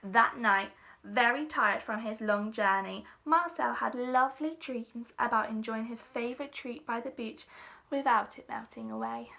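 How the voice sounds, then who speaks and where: Bright-voiced woman reading a children's story. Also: a little high, unhurried, creaky.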